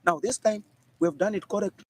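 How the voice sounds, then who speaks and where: A man with a Zambian accent and a husky voice explains local works informally, as a council official speaking to press. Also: high-pitched, quick pace.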